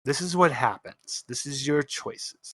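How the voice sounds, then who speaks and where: A man's voice: mid-range voice, animated, a little slow, pushed and bright, breathy edge, no echo. A man narrating as game master in a tabletop roleplaying game, improvising with emphatic repeats.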